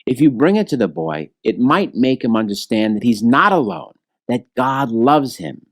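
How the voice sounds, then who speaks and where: Poor storybook beggar, voiced by a man: compassionate and softly insistent, mid-range voice with animated phrasing. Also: medium pitch, normal speed, no echo, studio quality. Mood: solemn, tender. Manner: gentle, earnest pleading. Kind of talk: children's storybook read-aloud.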